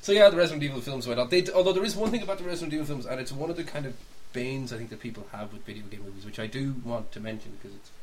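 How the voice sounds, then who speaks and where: A man's voice: medium pitch, very fast, hardly any pauses, low-quality recording. A man co-hosting a podcast, casually discussing films and games in a rambling, opinionated way as he thinks aloud. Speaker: podcast co-host. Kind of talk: casual film and game discussion. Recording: clear background hiss.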